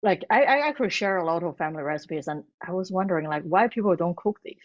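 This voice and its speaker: A woman's voice: on the low side, expressive. Woman author, chinese native, lots of restarts and fillers, kinda muffled recording. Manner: halting, many restarts and fillers. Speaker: cookbook author and food blogger. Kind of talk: bookstore author talk.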